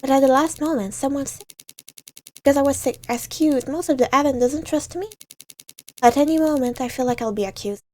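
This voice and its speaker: A woman's voice: high-pitched, a little fast, dark tone. A woman reading visual novel narration aloud in a dramatic storytelling way, now and then stumbling over words.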